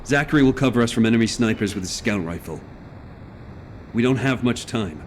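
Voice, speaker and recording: An actor playing a stern, pragmatic squad leader in a video game, curt and commanding, with music behind him. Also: male voice, medium pitch, animated, fairly quick, a little hiss or hum, natural voice. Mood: brisk, urgent. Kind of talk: video game cutscene acting.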